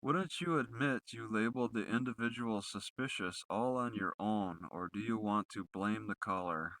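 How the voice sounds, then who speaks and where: Man, low-pitched, bright forceful tone, accusatory leading questions, cross-examination style, close dry sound. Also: normal speed, no room sound, poor audio.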